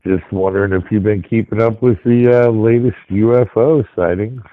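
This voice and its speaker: A man calling into a show, laid-back and unhurried as he talks about a sighting. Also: on the low side, fairly even intonation, no room sound. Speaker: caller. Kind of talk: casual phone call. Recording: dull dry phone audio.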